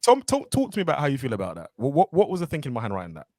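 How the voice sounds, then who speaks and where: Animated, fast-talking male podcast host. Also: medium pitch, no echo, studio quality. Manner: animated, repeats himself, thinks aloud. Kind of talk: casual conversational interview.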